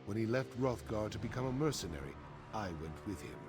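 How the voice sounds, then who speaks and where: An actor playing a grave, honorable middle-aged orc warrior chief in a video game, speaking in a solemn, measured, frank way. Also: male voice, lowish voice, sing-song. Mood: somber, reminiscing. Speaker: quest-giving game character. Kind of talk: scripted video game dialogue. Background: game music.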